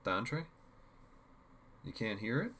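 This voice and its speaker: Man playing male storybook student, earnest, questioning, bantering, low pitch, faint noise behind. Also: sing-song, takes it slowly, bright, forceful tone, exaggerated voice. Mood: eager, puzzled.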